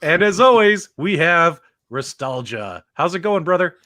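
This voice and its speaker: A man's voice: on the high side, measured pace, dry recording, clean studio sound. A man hosting a live video game podcast, talking with energy and hyping up the audience. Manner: energetic, upbeat, hypes the audience. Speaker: host.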